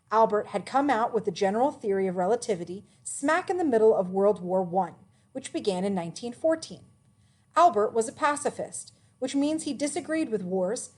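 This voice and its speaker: A woman reading a children's biography aloud as a narrator, with expressive, emphatic phrasing. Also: medium pitch.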